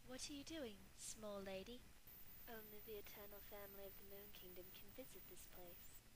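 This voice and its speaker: A woman playing a solemn, gentle female guardian of time in a scripted amateur fan musical dub. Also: medium pitch, steady tone, moderate pace, heavy background noise. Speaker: amateur voice actor.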